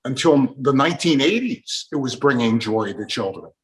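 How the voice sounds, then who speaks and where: Guy hosting a relaxed chatty interview, talking trains and tossing questions to guests, normal mid voice. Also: medium pitch, moderate pace, poor audio. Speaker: host. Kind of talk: casual conversational interview.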